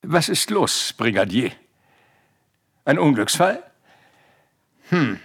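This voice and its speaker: An actor playing an older, knowing professor with an authoritative, clipped manner in a radio play. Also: male voice, fairly high pitch, very animated, slow, fairly bright tone, breathy edge, heavily acted. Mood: brisk, commanding. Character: older male professor, knowing, brisk, self-assured. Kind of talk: German radio play acting.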